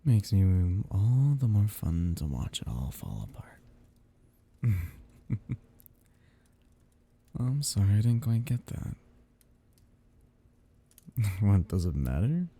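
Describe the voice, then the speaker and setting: A man playing a seductive, teasing, menacing vampire noble in an intimate ASMR roleplay, in a breathy, gravelly murmur. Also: sing-song, exaggerated voice. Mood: amused, taunting. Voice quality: husky, breathy, low murmur with gravelly edges. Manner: slow, teasing drawl with soft whispered dips.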